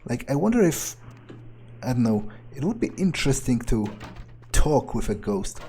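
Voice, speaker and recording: A man's voice: medium pitch, big pitch swings, normal speed, mellow, slight background noise. A man doing improvised in-character commentary on a game, thinking aloud in a nonchalant, hesitant way. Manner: nonchalant, musing, hesitant phrasing. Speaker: gamer doing an in-character playthrough.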